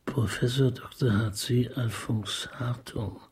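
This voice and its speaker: An actor playing a weary, irritable, cultured police detective chief in a German radio crime comedy, formal and exasperated. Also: male voice, mid-range voice, steady tone, slow, a little mellow, breathy edge. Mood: businesslike.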